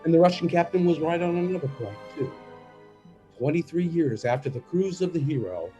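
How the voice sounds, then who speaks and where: A man's voice: fairly high pitch, animated, brisk, mellow, muffled recording, faint music behind. A man narrating and announcing a radio play from a script, in storytelling style.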